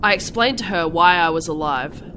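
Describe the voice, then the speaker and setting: A woman's voice: lowish voice, normal speed, pushed and bright, a little hiss or hum, poor audio. A woman narrating comedic fan fiction dramatically as a melodramatic teenage goth girl. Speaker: narrator and lead voice.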